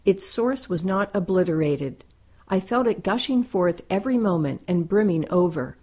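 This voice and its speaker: Woman reading an essay out loud, kinda low voice, careful n thoughtful delivery. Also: animated, moderate pace, slightly rough. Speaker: reader. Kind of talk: reading a first-person essay aloud.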